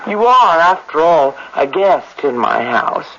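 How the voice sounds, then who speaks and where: On a muffled vintage radio recording with faint noise, a proud schoolteacher. She is prim, tart and quick to fluster, and her medium-pitched voice swings expressively in classic radio drama fashion. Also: very animated, fairly bright tone, exaggerated voice. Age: 30s. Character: proud, romantic thirty-five-year-old schoolteacher, easily affronted. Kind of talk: 1970s radio drama acting. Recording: dull, faintly noisy old radio recording.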